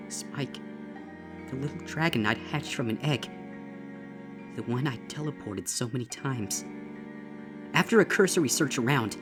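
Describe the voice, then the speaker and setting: A man reading a horror story as a traumatized female unicorn, grave, deliberate and intense, over music. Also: mid-range voice, close to an everyday voice. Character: traumatized young female unicorn, horrified and desperate. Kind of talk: dramatic first-person horror story reading.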